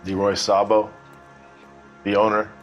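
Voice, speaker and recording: A guarded, sardonic barkeep from a 1940s detective game, played by a man. The voice is low and the delivery dry and offhand, as if giving away little. Soft music sits behind it. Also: monotone, a little slow, highs cut off, natural voice. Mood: offhand, resigned. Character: guarded, sardonic working-class bar manager. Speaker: bartender. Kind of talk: scripted video game dialogue, 1940s crime drama.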